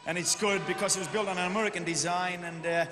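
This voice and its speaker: A man's voice: high-pitched, steady tone, quick pace, long reverb tail, a crowd in the background. A man from Durham, a game show contestant, answering an interview eagerly. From Durham, England. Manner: eager, enthusiastic. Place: large indoor arena.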